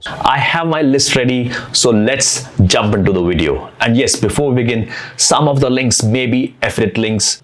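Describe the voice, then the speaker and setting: Male host, non-native English speaker, low voice, earnest and repetitive delivery. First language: not English. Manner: earnest, repeats phrases as he thinks aloud. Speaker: blogging channel host. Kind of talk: casual tutorial talk to camera.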